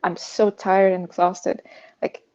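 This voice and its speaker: A woman from Russia, a native Russian speaker, telling a personal story as an interview guest in a halting, searching way. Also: fairly low pitch, little pitch movement, warm tone, muffled recording. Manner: hesitant, halting, frequent "you know". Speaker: podcast guest sharing personal insomnia story; pianist.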